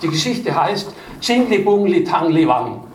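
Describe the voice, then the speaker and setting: A man's voice: a little high, sing-song, a little slow, slight background noise. A man from Baden reading his own stories to a live audience, chatting between readings with self-mocking, chuckling humor. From Baden, Germany. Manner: self-deprecating, chuckling storyteller. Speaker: author reading from his book. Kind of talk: live book reading with anecdotes. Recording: distant mic in an echoey room.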